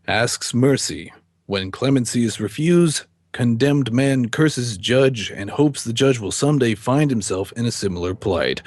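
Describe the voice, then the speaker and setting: An actor playing a stern, middle-aged judge and weary husband, speaking formally and with measured delivery in an old-time radio drama. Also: male voice, on the low side, darkish tone, dry recording. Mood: confiding. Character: stern male judge, weary husband confiding his downfall. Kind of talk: old-time radio drama acting and first-person narration.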